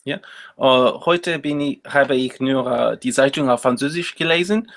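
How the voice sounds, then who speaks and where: A man's voice: mid-range voice, moderate pace. A man hosting a casual interview, asking simple questions and repeating answers back. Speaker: interviewer, host.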